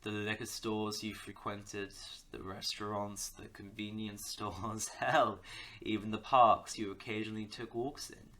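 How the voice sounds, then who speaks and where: An arrogant crime lord acted by a man holds forth in a fairly low, hard-edged voice. He is theatrical and condescending, savoring every threat as a villain in an audio drama. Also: level tone, a little slow, bright, forceful tone. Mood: sinister, amused. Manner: theatrical, condescending, chuckling menace. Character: menacing, arrogant male crime boss, smug mentor turned captor. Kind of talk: audio roleplay villain acting.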